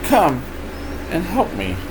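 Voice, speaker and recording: An older man plays an eager little robot puppy in a children's storybook. The voice is lifted higher, wide-eyed and excitable, with a clear air conditioning hum in the background. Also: takes it slowly, exaggerated voice. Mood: excited. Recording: steady room noise, air conditioning hum.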